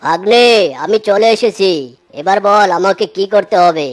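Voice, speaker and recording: A woman's voice: medium pitch, normal speed, natural voice. A woman voicing a gullible, blustery but friendly cartoon tiger uncle, speaking emphatically with repeated exclamations. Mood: eager, trusting. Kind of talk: exaggerated children's cartoon acting. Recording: clear music behind the voice.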